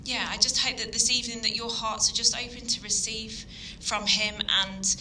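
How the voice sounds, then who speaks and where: A woman with a Yorkshire accent preaches an evening sermon in an earnest, halting way, with plenty of ums. Her voice is very bright and sharp. A faint hiss of noise sits behind her. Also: a little high, level tone, poor audio. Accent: Yorkshire English. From Yorkshire, England. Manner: earnest, hesitant with frequent ums. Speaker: guest speaker.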